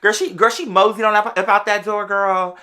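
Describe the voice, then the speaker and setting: A young adult American vlogger tells casual stories in a mid-pitched, bright voice. The delivery is quick and conversational, full of sassy slang aimed straight at the viewers. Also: steady tone.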